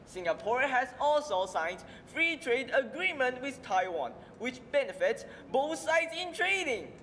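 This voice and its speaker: A man's voice: extremely high pitch, big pitch swings, a little slow, pushed and bright, a little hiss or hum. A young man playing a Taiwanese student in a school stage skit, speaking earnest, carefully recited English. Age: young adult. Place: large auditorium stage. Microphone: stage floor mics from afar. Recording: hall reverb with faint music.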